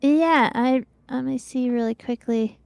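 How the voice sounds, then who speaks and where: A woman's voice: high voice, measured pace, vocal fry, a little mellow, no echo. A woman chatting casually as she plays a video game as co-host of a livestream. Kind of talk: casual chat while playing a video game.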